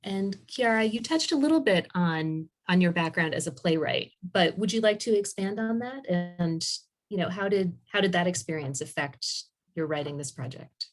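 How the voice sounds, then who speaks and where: A woman's voice: on the low side, expressive, normal speed, few pauses. A woman moderating an online panel, asking conversational interview questions over a video call. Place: home room, indoors, on a video call. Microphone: computer video-call mic.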